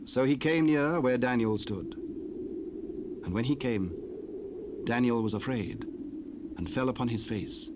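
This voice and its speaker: Male scripture narrator, steady and solemn, mid-range voice, noticeable cassette hiss. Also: mid-range voice, a little slow, pauses often. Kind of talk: 1970s dramatized scripture narration. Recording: hissy cassette tape.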